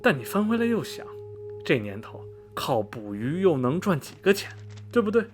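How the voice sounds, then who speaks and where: Gossipy railway old hand for radio drama, played by a man; highish, very animated pitch, folksy rambling storyteller. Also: moderate pace. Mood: gossipy storytelling. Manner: folksy, rambling, full of sighs and interjections. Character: chatty, gossipy veteran railway station worker, smoker. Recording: music behind the voice. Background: background music.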